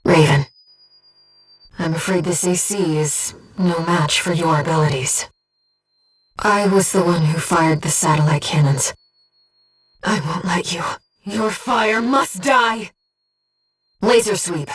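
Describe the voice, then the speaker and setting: A woman voicing a disembodied female guide who speaks in a pilot's head as mission operator in a video game, with a soft, breathy voice and a precise, earnest delivery. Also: lowish voice, takes it slowly, long pauses, recorded from a distance. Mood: soft, sorrowful, then desperate, defiant. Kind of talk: scripted video game voice lines, mission briefings and battle callouts.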